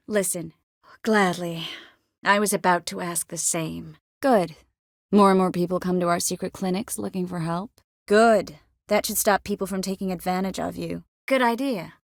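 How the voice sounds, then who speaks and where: A woman voice actor playing a caring, self-assured, quick-witted sorceress in a fantasy video game, with earnest, expressive and clearly articulated delivery. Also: mid-range voice, dry recording, clean studio sound, close to an everyday voice. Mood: composed, friendly. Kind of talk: scripted fantasy game dialogue.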